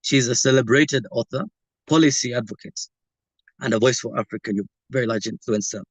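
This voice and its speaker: A man moderating a video-call session, giving a formal, slightly halting introduction of the keynote speaker. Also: mid-range voice, slightly rough, no room sound, poor audio.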